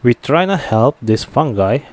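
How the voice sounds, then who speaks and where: A male game streamer chats casually over live gameplay in short, halting reactions. He talks slowly, with animated swings in pitch, and often tacks questions onto his remarks. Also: dry recording.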